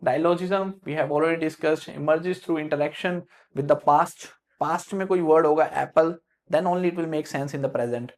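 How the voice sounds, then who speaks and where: Gravelly Indian man teaching literature. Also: fairly high pitch, fairly even intonation, fast, few pauses. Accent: Indian English with South Indian influence. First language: Hindi. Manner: explains in loose spoken English with many fillers and restarts. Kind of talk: explanatory lecture.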